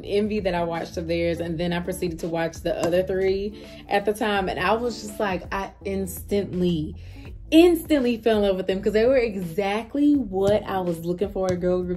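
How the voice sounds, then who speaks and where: A woman's voice: medium pitch, a little mellow. A woman giving a casual, slangy music reaction, repeating phrases for emphasis. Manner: slangy, informal, emphatic repetition. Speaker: reaction video host.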